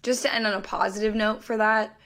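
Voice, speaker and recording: A woman with a raspy voice co-hosting a podcast, chatting casually and tossing in quick asides. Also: medium pitch, fairly even intonation, a bit bright. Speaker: podcast co-host. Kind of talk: casual sports chat.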